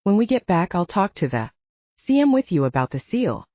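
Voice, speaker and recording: A woman voicing a blunt, brisk female investigator who coaches like a mentor in an audiobook. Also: mid-range voice, sing-song, mellow, dry recording. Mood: firm, coaching. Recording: dull lo-fi, very dry.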